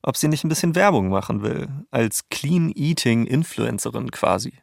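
A man's voice: mid-range voice, animated, normal speed, gravelly, no room sound, clean studio sound. A man with a standard German accent reading scripted narration as a podcast co-host. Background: music bed.

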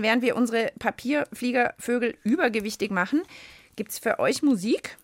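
A young woman hosting a children's podcast, chatting playfully and explaining a hands-on experiment to kids. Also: fairly high pitch. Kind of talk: casual hands-on science chat for kids.

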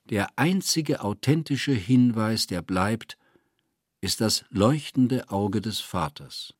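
A man with a fairly low voice reads literary prose as a narrator. His delivery is measured and precise, and the sound is close and dry. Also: dry recording.

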